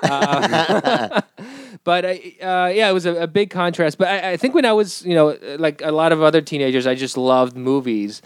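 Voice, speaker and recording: A middle-aged man from Peru speaks in a smooth, resonant voice. He talks casually and haltingly in an interview, full of restarts and fillers, and the dry sound carries almost no room. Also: fairly high pitch, animated, no echo. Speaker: film director guest.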